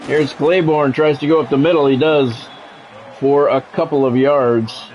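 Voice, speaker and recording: A man's voice: a little high, fairly even intonation, measured pace, a little mellow, highs cut off. A middle-aged American man doing play-by-play of a football video game on a livestream, mixing polished, clipped announcer calls with casual chat to viewers.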